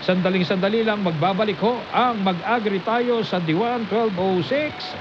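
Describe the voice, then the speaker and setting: A man's voice: high-pitched, normal speed, background noise. A Filipino man hosting a radio program, talking conversationally and politely to his listeners. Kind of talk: live radio talk, addressing listeners. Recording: dull AM radio reception, music behind. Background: music.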